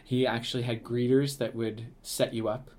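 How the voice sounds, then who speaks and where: A man co-hosts a casual podcast, chatting in a rambling way full of fillers and quick restarts. His voice sits at an ordinary middle pitch. He laughs often as he talks. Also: little pitch movement, darkish tone. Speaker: podcast co-host, museum staff. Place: Canada.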